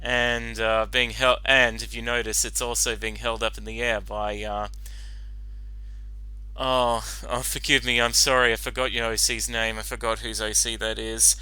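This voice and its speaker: A man hosting a reaction video, commenting casually off the cuff in a halting, apologetic way. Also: mid-range voice, flat delivery, sharp tone, clear, resonant tone, no room sound, low-quality recording.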